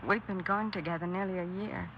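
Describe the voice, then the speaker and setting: A woman's voice: lowish voice, steady tone, a little fast, close to an everyday voice. An actress playing an earnest, troubled young girlfriend in a 1950s radio drama, speaking hesitantly. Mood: hurt, anxious. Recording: muffled 1950s radio broadcast, faint noise.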